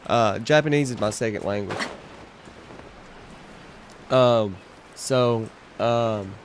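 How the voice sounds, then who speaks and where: A man's voice: fairly low pitch, slow, a little hiss or hum. A young man streaming a game, chatting casually and rambling to his viewers. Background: game music.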